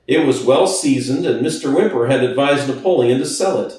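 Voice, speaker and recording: A man's voice: mid-range voice, brisk, a little mellow. A man reading a classic novel aloud as a dramatic scripted narration. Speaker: audiobook narrator. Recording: very echoey room, distant from the mic.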